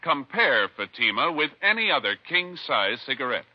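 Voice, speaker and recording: 50s radio announcer man, expressive pitch, formal read, dull old recording. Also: normal speed, no room sound.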